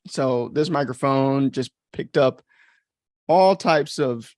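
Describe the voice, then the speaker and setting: A man's voice: mid-range voice, takes it slowly, darkish tone, no echo. An American man giving casual, off-the-cuff commentary as a podcast host, chatty and informal with reflective asides.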